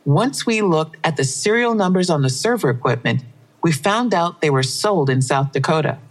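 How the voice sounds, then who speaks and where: An actress playing a brisk, abrasive female police detective in an audio drama. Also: deep voice, lively intonation. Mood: brisk, confiding. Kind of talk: full-cast audio drama acting.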